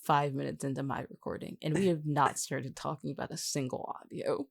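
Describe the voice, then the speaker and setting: A woman's voice: low voice, steady tone, brisk, creaky, breathy edge, no echo, clean studio sound. A woman co-hosting a casual podcast, bantering in a teasing, dryly complaining way. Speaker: podcast co-host and guest.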